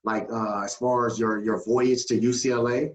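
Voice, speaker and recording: A man co-hosting a casual interview, asking rambling, halting questions. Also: mid-range voice, fairly even intonation, crowd noise. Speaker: podcast co-host. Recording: echoey, distant from the mic.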